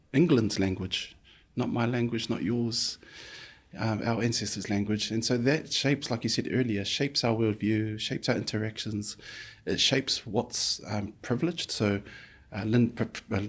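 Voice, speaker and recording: A middle-aged man from New Zealand, a physiotherapist guest speaking thoughtfully in an interview, with many pauses and restarts. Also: on the low side, breathy edge. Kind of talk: reflective conversational interview.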